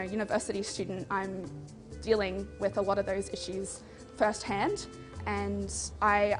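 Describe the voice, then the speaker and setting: A woman of twenty giving informal interview answers. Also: medium pitch. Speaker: university student interviewee. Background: music.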